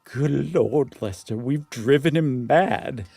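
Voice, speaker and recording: A man voicing an excitable, frightened character in a horror story reading, trembling and melodramatic as he bluffs bravery. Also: mid-range voice, takes it slowly, vocal fry, dry recording.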